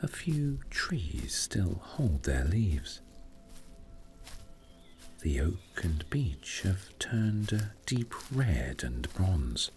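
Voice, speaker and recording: A deep-voiced man reads a sleep meditation story very slowly and soothingly. His voice is gravelly, with gentle, breathy phrase endings and wide, swooping intonation. Soft music plays faintly underneath. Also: big pitch swings. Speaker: meditation narrator.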